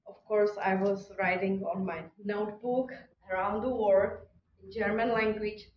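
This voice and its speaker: A woman whose first language is Czech, giving a reflective spiritual talk at a conference in slow, halting phrases with pauses. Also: medium pitch, fairly even intonation, a little hiss or hum. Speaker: conference speaker. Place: hotel conference hall. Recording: distant, dull, echoey hall recording.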